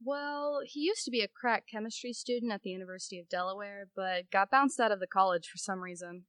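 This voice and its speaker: Woman playing a clear, slangy newspaper gal. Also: medium pitch, a little fast, fairly bright tone, no echo, close to an everyday voice. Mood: frank, intrigued. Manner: casual, slangy. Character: chatty, frank newspaper colleague, a woman. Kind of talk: amateur radio play acting.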